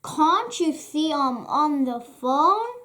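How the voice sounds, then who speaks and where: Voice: high voice, big pitch swings, slow, pushed and bright, exaggerated voice. A storybook reader voicing busy parents who shush and brush off their child in a dismissive manner. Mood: distracted, dismissive.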